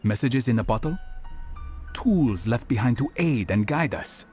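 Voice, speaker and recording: An actor playing a sober, earnest male assassin in a video game, speaking in a measured, formal way. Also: mid-range voice, lively intonation, a little mellow, faint music behind, close to an everyday voice. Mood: brooding, reflective. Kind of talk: dramatic video game acting.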